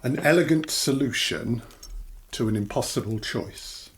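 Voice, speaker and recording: An actor playing a menacing supernatural creature in an audio drama, speaking in a measured, mocking, theatrical way. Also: male voice, mid-range voice, slight background noise, natural voice. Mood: sinister, calm. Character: menacing male supernatural child-taking creature, calmly gloating. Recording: music under the voice.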